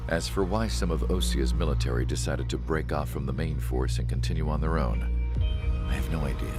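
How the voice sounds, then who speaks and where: An actor playing a weary, reflective older scientist who narrates a war story in a video game in a measured, somber, detached manner. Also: male voice, rumbling low. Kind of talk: scripted cutscene narration. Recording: loud music under the voice. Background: loud music.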